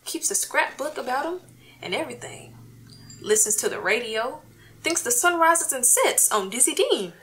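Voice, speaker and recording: A woman acts out an earnest radio-comedy housewife and mother who is no longer young. Her voice sits a little high. She speaks in a folksy, coaxing way with a plaintive edge, trying to keep the peace. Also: big pitch swings, normal speed, sharp tone, a little hiss or hum, exaggerated voice. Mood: exasperated. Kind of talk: old-time radio comedy acting.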